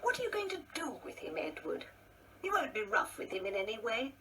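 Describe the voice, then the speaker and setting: An actress playing an older, anxious and solicitous English wife in a radio play, careful and coaxing. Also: female voice, fairly high pitch, wildly swooping pitch, fairly quick, sharp tone, full character voice. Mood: anxious, pleading. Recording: roomy distant mic, faint noise.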